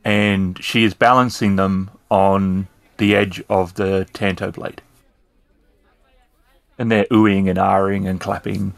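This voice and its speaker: Low-voiced male tabletop game master. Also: flat delivery, takes it slowly, vocal fry, dry recording. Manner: descriptive, explanatory. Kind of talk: improvised tabletop game narration.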